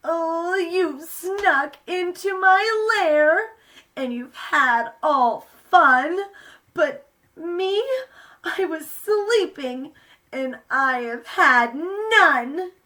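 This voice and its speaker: A woman voicing a sleepy, hurt big bear who whimpers out a drawn-out complaint in a storybook reading. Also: extremely high pitch, expressive, pushed and bright, pitched above the performer's own voice, heavily acted. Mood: hurt, pouting.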